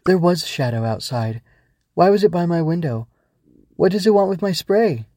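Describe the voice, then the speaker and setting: A man's voice: sits high, lively intonation, moderate pace, mellow, no echo, clean studio sound, voice pushed up. A man voicing a scared young girl finding her courage in a children's story, with a pleading, exclamatory delivery. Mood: frightened.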